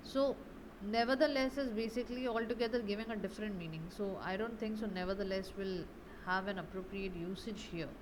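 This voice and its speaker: A woman's voice: mid-range voice, a little fast, noisy background. A woman English grammar teacher explaining exercise answers in a didactic way, often checking understanding with tag questions.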